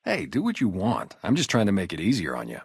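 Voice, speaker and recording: A man's voice: on the low side, huge pitch swings, fast talker, creaky, husky, dry recording, clean studio sound, full character voice. A man acting a video game character, a guarded, loyal ex-gang sniper on town security, talking in a casual, streetwise way. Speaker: security guard and former soldier.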